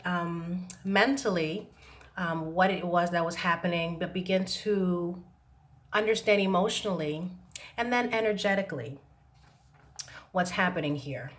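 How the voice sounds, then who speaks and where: A woman talking to camera about self-healing, hesitant with many pauses and restarts, her voice still a little rough from laryngitis. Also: medium pitch, a bit bright. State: recovering from laryngitis, slight roughness. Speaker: self-help vlogger. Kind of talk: reflective talk to camera. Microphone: camera mic.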